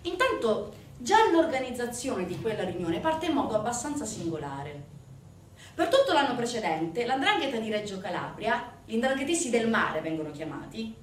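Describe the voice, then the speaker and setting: A woman's voice: a little high, slight background noise. A woman delivering a conversational theatre monologue to an audience, chatty and full of rhetorical questions. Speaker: stage actress, solo narrator. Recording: roomy echo, distant mic.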